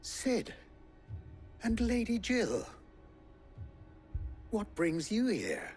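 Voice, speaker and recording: An actor playing a stern, weary merchant in a fantasy game, speaking formally and earnestly. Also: male voice, high-pitched, very animated, drawn-out words, darkish tone, soft background music, exaggerated voice. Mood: courteous, concerned. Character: stern, weary male merchant keeping order. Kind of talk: dramatic game cutscene acting.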